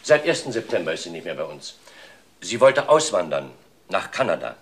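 An actor playing a courteous, formal office boss who speaks emphatically and repeats himself, in a crime radio play. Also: male voice, sits low, sing-song, normal speed. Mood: formal, regretful. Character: courteous male office boss praising a former secretary.

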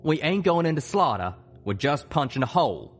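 An earnest pegasus stallion soldier, put on by a man for a storybook. He talks folksy and forceful, pitched up and loud. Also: sing-song, moderate pace, breathy edge.

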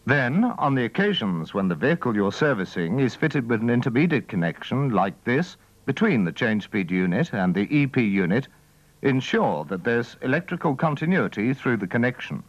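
A man's voice: medium pitch, big pitch swings, normal speed, dry recording. Man narrating a dull-sounding old training film. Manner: methodical, formal delivery. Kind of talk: scripted instructional narration.

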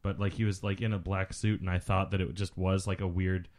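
Male podcast co-host, lowish voice, geeky explainer with salty language, loose movie commentary. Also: flat delivery, brisk, a little mellow. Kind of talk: casual film commentary chat.

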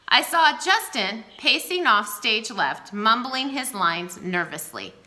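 A woman's voice: fairly high pitch, takes it slowly. A woman in her forties reading a novel aloud at home into a close webcam, acting out the dialogue. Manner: expressive, acts out the dialogue. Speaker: reader. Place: small room at home, indoors. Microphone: webcam at close range.

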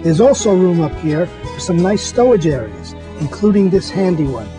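A man's voice: high voice, sing-song, normal speed, over music. A man, a magazine editor, walking viewers through a boat and pointing out its features one by one in an upbeat tour narration.

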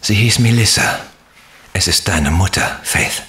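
An actor playing a tender, proud middle-aged guardian in a German audio drama. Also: male voice, low voice, measured pace, a bit bright, a little hiss or hum.

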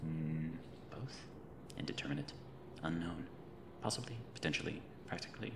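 Creaky, creepy-cheerful mad captor played by a man. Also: rumbling low, normal speed. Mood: fussy, eccentric. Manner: theatrical, digressive, politely fussy, macabre. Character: creepy yet cheerful mad reanimator captor, rambling and eccentric. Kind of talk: ASMR roleplay monologue. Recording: roomy echo with noisy ambient backdrop.

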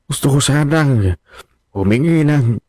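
An actor playing an ailing older father in a radio drama, halting, weary and imploring. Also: mid-range voice, animated, darkish tone, dry recording, studio quality. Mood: pleading. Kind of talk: Filipino radio drama acting.

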